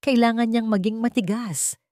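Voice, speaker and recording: A woman reading a scripted dramatic story in a measured, suspenseful way with dramatic pauses. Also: on the high side, steady tone, dark tone, dry recording, clean studio sound.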